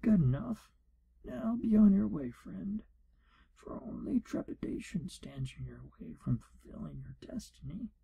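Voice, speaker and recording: A man playing a pompous, evasive wizard proctor in an ASMR fantasy role play, speaking in a hushed, breathy half-whisper. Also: sits high, big pitch swings, a little slow, exaggerated voice, poor audio. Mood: pompous, impatient. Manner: pompous, wry, evasive, theatrical storytelling. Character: pompous, shifty male wizard proctor giving an exam.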